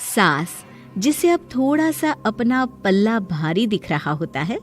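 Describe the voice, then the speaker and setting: A woman's voice: medium pitch, big pitch swings, takes it slowly, soft background music. A woman reading scripted narration as the storyteller of a cartoon tale.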